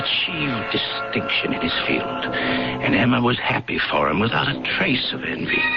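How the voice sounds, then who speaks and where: A loyal, worried friend in a vintage radio drama. His earnest voice swings widely in pitch with a creaky edge. The old recording is dull, with music behind. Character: concerned, loyal male family friend telling his story.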